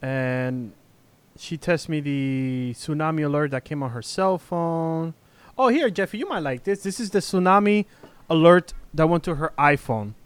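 A man co-hosting a podcast, chatting casually with an excitable, teasing manner. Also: on the high side, measured pace, smooth, dry recording. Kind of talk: casual chat.

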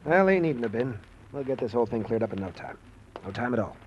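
An actor playing an earnest, wronged man, indignant and wounded, with a husky voice in vintage radio drama style. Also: mid-range voice, expressive, quick pace, slight background noise, lightly acted. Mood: anxious, insistent. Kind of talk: 1940s radio drama acting. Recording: dull vintage radio recording.

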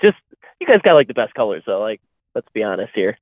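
A man's voice: fairly low pitch, big pitch swings, quick pace, no room sound. A young man talks over a thin, phone-like line, his voice dry and close. He chats loosely, leaning on plenty of likes and ums as he answers questions as a guest. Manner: loose and chatty, heavy on fillers. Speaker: guest athlete. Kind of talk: casual podcast interview. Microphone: phone call.

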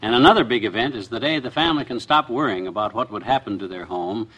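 Bright-voiced man in a radio advertisement. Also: fairly low pitch, quick pace, husky, low-quality recording. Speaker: commercial spokesman. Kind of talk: scripted 1950s radio advertisement.

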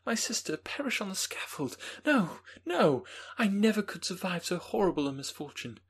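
A man's voice: high-pitched, very animated, moderate pace, breathy edge, pitched above the performer's own voice, heavily acted. A man voicing a grieving young woman who pleads in distress for a condemned friend. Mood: anguished.

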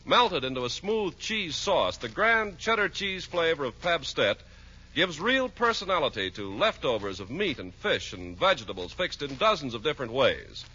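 A man's voice: a little high, very animated, moderate pace, sharp tone, breathy edge. A man with an American accent announcing and narrating a script in 1940s radio style. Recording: muffled old radio recording, music behind.

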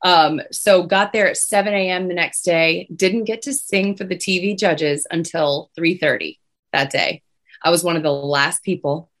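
A young woman singer with a low voice, chatting casually as an interview guest. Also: steady tone, moderate pace, fairly bright tone. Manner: chatty, many restarts and fillers.

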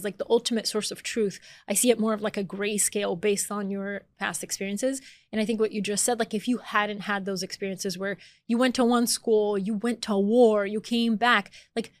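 A woman in her thirties talks loosely and warmly, with lots of "like" fillers and ready laughter. Her voice sits at a middle pitch. The recording is dry and close, with no room sound. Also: dry recording. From born in Bulgaria, raised in Atlanta, Georgia. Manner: chatty, frequent "like" fillers, laughs easily. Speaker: author and podcast guest. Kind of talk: casual conversational interview.

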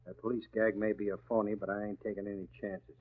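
An actor playing a tough, short-tempered gangster boss, barking slangy threats in a 1940s radio drama. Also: male voice, fairly low pitch, level tone, fast talker, close to an everyday voice. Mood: commanding. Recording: tinny, narrow-band old radio recording.

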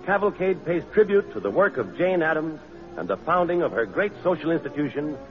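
A man's voice: fairly high pitch, very animated, brisk, lo-fi sound, soft background music. A man announcing in a scripted, emphatic old-time radio style on a vintage broadcast recording. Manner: declamatory, emphatic delivery. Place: auditorium stage.

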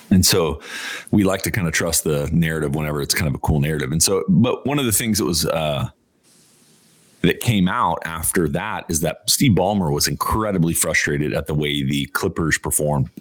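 Very deep-voiced male sports podcaster. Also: normal speed, no room sound. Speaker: podcast co-host. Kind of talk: casual sports podcast banter and commentary.

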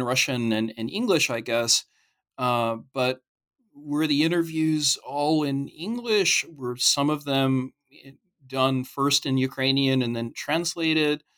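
A man hosting an academic podcast interview, asking long, searching questions with many restarts and pauses. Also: mid-range voice, fairly bright tone. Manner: hesitant, many restarts and fillers. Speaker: podcast host interviewing an author.

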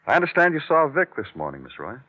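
An actor playing a dry, dogged police detective in an old-time radio crime drama, terse and hard-boiled. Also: male voice, mid-range voice, huge pitch swings, fast talker, breathy edge. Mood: polite, dogged. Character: dry, dogged male police detective narrating his own case. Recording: tinny old radio recording.

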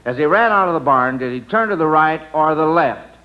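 A man's voice: on the high side, expressive, a little fast, over music. A man hosting an old-time radio quiz for children, chuckling and patiently prompting the contestants. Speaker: quiz show host. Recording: dull, noisy old radio recording.

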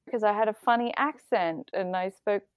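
A young woman from Bali, a guest speaking thoughtfully in a casual conversation, pausing to choose her words. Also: medium pitch, no echo, muffled recording. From Indonesia (Bali). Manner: reflective, thoughtful, with hesitations.

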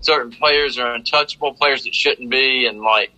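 A very bright, forceful male voice with a North Carolina Southern accent. He talks sports casually as a fan, rambling along and doubling back on phrases for emphasis. The pitch sits in an ordinary middle range. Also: fairly even intonation, moderate pace, rough recording. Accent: North Carolina Southern American. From North Carolina, United States.

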